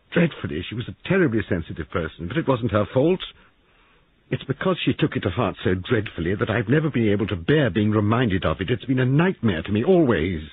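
A man voicing a frightened young woman in an audiobook, reading her lines higher and strained. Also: breathy edge, exaggerated voice. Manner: strained, raised pitch, pleading. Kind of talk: audiobook character voice. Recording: narrow phone-like sound, dry.

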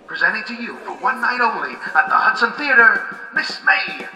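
A man plays a grand theater announcer in an audio drama, calling out a star's name in a high, blazing showman's voice. The call rings through an echoey hall over faint music. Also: sing-song, normal speed, bright, forceful tone, highs cut off, exaggerated voice. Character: grand male theater announcer presenting a star.

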